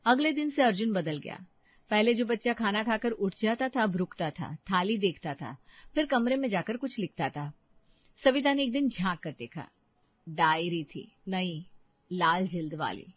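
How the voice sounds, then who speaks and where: A woman narrating a moral story dramatically, in deliberate short phrases. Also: medium pitch, lively intonation, muffled recording.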